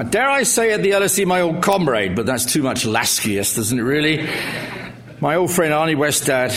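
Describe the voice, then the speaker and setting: A man's voice: mid-range voice, a bit bright. A middle-aged man chairing a lecture evening, introducing the speaker with wry jokes and many hesitant fillers. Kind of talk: formal introduction speech with jokes. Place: lecture hall with audience.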